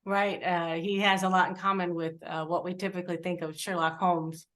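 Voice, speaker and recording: A woman's voice: on the low side, little pitch movement, normal speed, a bit bright. A woman interviewer asking casual questions, phrasing them informally and a little hesitantly.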